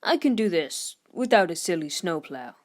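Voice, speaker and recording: A woman's voice: lowish voice, very animated, normal speed, darkish tone. An earnest, plucky little tank engine from a children's storybook, read by a woman. He sounds modest and sincere. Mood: determined.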